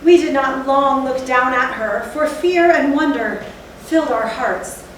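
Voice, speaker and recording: A woman playing a haunted, fearful narrator in theatrical Gothic storytelling, with a deliberate, literary delivery. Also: high voice. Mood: somber, uneasy. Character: haunted, fearful narrator recalling a night of horror. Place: theatre stage, recorded from a distance. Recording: echoey hall, distant mic, faint noise.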